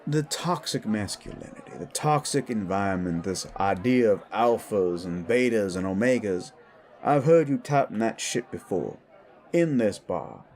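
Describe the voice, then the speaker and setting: Seeking a man for a frank supernatural mentor in audio drama: unhurried, lowish creaky voice, blunt counsel. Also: lively intonation, a little mellow. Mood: frank, sympathetic. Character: wise, frank male supernatural mentor in a bar. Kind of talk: scripted audio drama dialogue.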